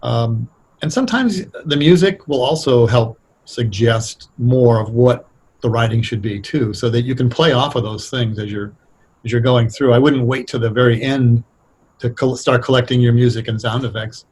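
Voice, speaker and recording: A man speaking casually and thoughtfully as a writer being interviewed, explaining things in a halting, anecdotal way. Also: medium pitch, a little mellow, a little hiss or hum. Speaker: radio drama writer, interview guest.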